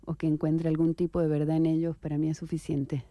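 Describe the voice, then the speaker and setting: A woman writer from Venezuela answering interview questions in a reflective, unhurried way, with soft hesitations and tag questions. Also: sits low, monotone, dry recording, studio quality, faint music behind.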